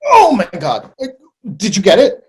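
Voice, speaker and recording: A woman playing an awkward circus clown with a husky voice and faltering, tentative speech, in a staged play reading. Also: medium pitch, huge pitch swings, unhurried, exaggerated voice. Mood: alarmed.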